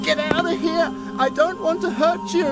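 A woman's voice: very high voice, fairly even intonation, normal speed. An actress playing a frantic, self-sacrificing woman in a video game, with a melodramatic, emphatic delivery. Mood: anguished, resolute. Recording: loud music over the voice.

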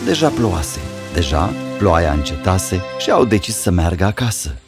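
A man's voice: low-pitched, sing-song, loud music behind the voice. A man reading scripted fairy tale narration as the storyteller.

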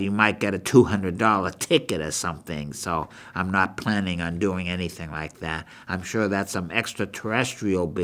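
An older man with a New England accent hosts a rambling book review in a halting, folksy way, repeating himself as he goes. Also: deep voice, a bit bright. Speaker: show host. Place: small meeting room indoors.

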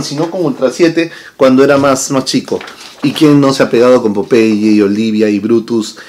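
A man, chatting casually to camera as a vlog host in a room full of toy shelves, lively and full of tag questions. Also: medium pitch. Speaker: channel host. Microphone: fixed camera at desk distance.

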